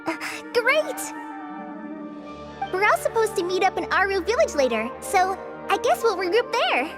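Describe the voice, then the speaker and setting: A woman voicing a modest, friendly young female forest ranger with soft nervous laughs in a game dub. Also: very high-pitched, sing-song, moderate pace. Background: game music.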